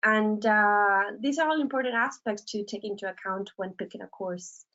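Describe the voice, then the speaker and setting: A woman's voice: medium pitch, normal speed, sharp tone, a little hiss or hum. A young woman from Argentina, a film graduate, moderating a webinar panel and explaining things with many hesitant fillers. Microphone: video call. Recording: dull lo-fi video call audio.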